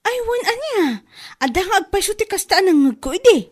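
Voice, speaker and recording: A woman's voice: very high voice, sing-song, a little fast. A woman with an Ilocano accent acting an aggrieved, gossipy laundrywoman in a radio comedy drama, given to exclamations. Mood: gossipy, surprised. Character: gossipy, aggrieved laundrywoman chatting with her friend.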